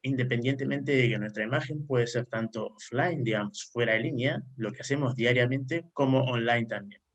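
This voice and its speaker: An Argentine man speaking at a mid pitch as a panelist at an online round table. He lays out his ideas in long explanatory runs. He keeps checking in with his listeners through a rising "¿sí?". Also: normal speed. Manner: frequent questioning "¿sí?" tags, explanatory. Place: remote online conference.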